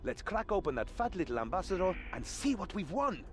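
Brash, high-pitched young adventurer. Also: male voice, a little fast, a little hiss or hum. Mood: confident, curious. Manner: excitable, theatrical exclamations. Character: brash, plucky young adventurer on a desert quest. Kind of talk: video game voice acting.

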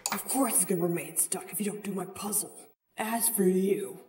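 A woman's voice: mid-range voice, moderate pace, reverberant, very noisy, lightly acted. A woman playing a smug talking bottle who runs a deadly game, theatrical and menacing, in a cartoon comic dub. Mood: smug menace. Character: taunting talking bottle, sadistic game host. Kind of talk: animated comic dub acting.

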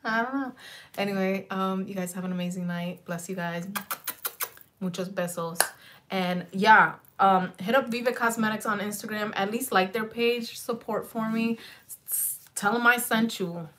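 A woman in her thirties chatting loosely on a beauty livestream, swearing freely, dropping in Spanish words, her slightly raspy voice going in and out. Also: medium pitch, moderate pace, fairly bright tone, a little hiss or hum. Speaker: beauty vlogger. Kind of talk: rambling casual livestream chat. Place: small home beauty room, indoors.

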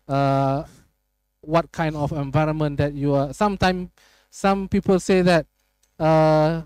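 A man's voice: a little high, a little mellow, smooth, no echo, low-quality recording. A young man moderating a live online summit interview in a studio, speaking hesitantly with many filler sounds into a headset mic. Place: studio set with chairs.

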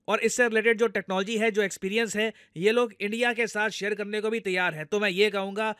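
A man's voice: high voice, fairly bright tone, smooth, no room sound, clean studio sound. A man with an Indian accent giving explanatory news commentary in a conversational way, addressing viewers directly and going step by step. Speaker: news commentator, video host.

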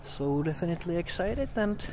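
A man's voice: high-pitched, lively intonation, moderate pace. A man in his forties with a Czech accent, a native Czech speaker talking casually to camera in a vlog. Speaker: vlogger and amateur pilot. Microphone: phone at arm's length.

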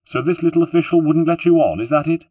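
A man's voice: medium pitch, big pitch swings, moderate pace, slightly rough, dry recording. A man voicing a spirited, imperious younger noblewoman in a storybook, scolding and commanding. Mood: brisk, imperious.

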